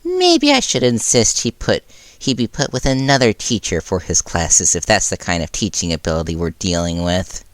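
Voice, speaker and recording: A man voicing a clever, courteous animal school principal who speaks in a polite, measured, curious way. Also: low voice, big pitch swings, no room sound. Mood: mildly concerned.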